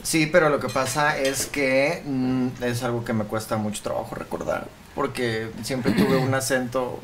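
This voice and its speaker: A man's voice: steady tone, unhurried, crowd noise, natural voice. A man playing a gossipy Mexican society mom. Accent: Mexican Spanish. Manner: theatrical, swings between high squeals and low mutters. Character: chatty middle-aged Mexican society mom, woman. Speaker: co-host. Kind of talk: improvised comedy chat in character. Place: studio set with beach backdrop.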